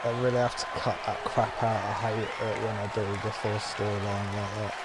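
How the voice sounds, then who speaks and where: A man's voice: lowish voice, level tone, moderate pace. A man giving casual, grumbling, sarcastic commentary as he plays a game. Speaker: gamer commenting on gameplay. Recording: noisy, with crowd sound behind.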